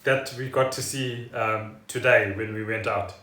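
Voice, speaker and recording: A man's voice: on the low side, fairly even intonation, measured pace, bright, forceful tone, a little hiss or hum. A South African man chatting casually and loosely as a podcast host. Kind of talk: casual rambling chat. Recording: echoey room, distant microphone.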